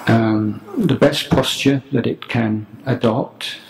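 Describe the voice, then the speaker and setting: A man teaching guided meditation, speaking calmly and deliberately, leaving long pauses between phrases. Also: mid-range voice, vocal fry. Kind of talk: spoken guided meditation instruction. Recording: roomy, echoing, faint background noise.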